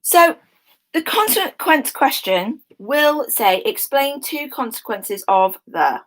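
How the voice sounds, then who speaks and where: A young woman teaching a history class online, chatty and informal with many ums, her voice slightly croaky. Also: high-pitched, animated, slow, rough recording.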